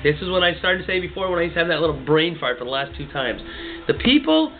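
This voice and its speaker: A woman in her fifties chatting casually at a table at home, talking in an animated, ranting way and putting on voices when she quotes people. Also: on the low side, fast. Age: 50s. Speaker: co-host. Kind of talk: casual chat. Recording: tinny, phone-like sound with faint music.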